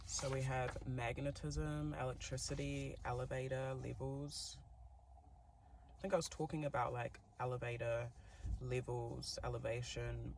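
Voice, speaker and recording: NZ-accented man, chatty tarot reader, gravelly tone, faint background noise. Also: medium pitch, steady tone, unhurried. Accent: New Zealand. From New Zealand. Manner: chatty and giggly, many fillers. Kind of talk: casual tarot reading to camera.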